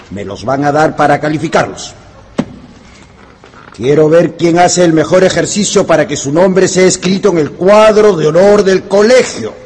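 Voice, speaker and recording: Strict formal school teacher in a radio drama, bossy, very animated pitch, on the high side for a man. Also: slight background noise. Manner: authoritarian, formal address to pupils. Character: stern, formal schoolteacher, adult man, quick to scold. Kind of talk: Spanish-language radio drama acting.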